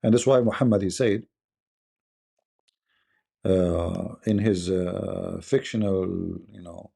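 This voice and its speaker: Arabic-accented guy hosting a debate stream, gravelly and husky, blunt and scornful. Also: low-pitched, steady tone, slow, dark tone. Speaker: host. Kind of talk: live debate stream talk.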